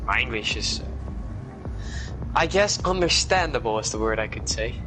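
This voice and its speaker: A man's voice: mid-range voice, moderate pace. Dutch man commentating sim racing. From Netherlands. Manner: chatty, jokey, rises to excited shouts on action. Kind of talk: live esports race commentary. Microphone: headset. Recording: live stream with loud music underneath. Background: loud music bed and racing car sounds.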